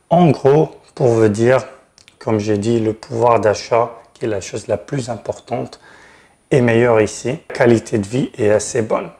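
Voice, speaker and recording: A man's voice: medium pitch, darkish tone. A man from Tunisia, speaking as host and consultant in an explanatory advice video, frankly and directly, stressing key words. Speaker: channel host, regulated immigration consultant.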